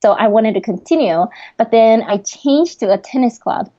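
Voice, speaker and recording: Guest, young woman, Japanese accent, mellow voice, many fillers, lo-fi sound. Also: on the high side, expressive, normal speed. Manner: earnest, laughs often, frequent fillers. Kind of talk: podcast interview.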